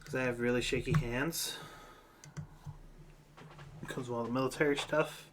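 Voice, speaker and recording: Laid back guy chatting at the painting table, normal pitch, faint hiss. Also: fairly even intonation, moderate pace. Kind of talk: casual chat.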